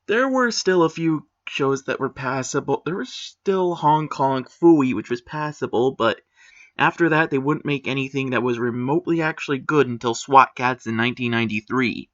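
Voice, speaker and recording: A man's voice: mid-range voice, moderate pace, fairly bright tone, muffled recording. A man giving opinionated commentary on a written post, critical and matter-of-fact with sarcastic asides.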